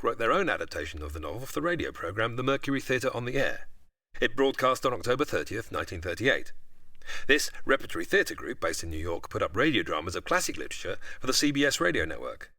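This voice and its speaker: A man's voice: on the low side, bright, forceful tone, no echo, studio quality. A man with a British accent, a podcast guest giving scripted explanations in a narrating style.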